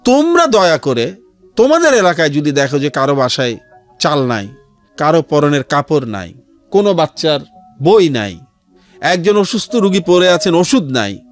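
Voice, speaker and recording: A Bangladeshi man with a fairly high voice speaks in an earnest, explanatory way, as a guest telling his story on radio. His delivery swings widely in pitch and slips into English phrases, with music behind him. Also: sing-song, normal speed. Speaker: interview guest, founder of a charity resale platform. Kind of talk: conversational storytelling in a radio interview. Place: radio studio.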